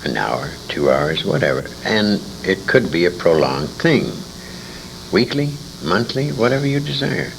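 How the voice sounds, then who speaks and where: A man in his sixties telling stories in an interview, speaking emphatically and dramatically with pauses. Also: low voice, very animated, gravelly. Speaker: interviewee, veteran radio actor. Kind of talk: conversational storytelling in an interview. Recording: hissy, humming 1980s amateur convention tape.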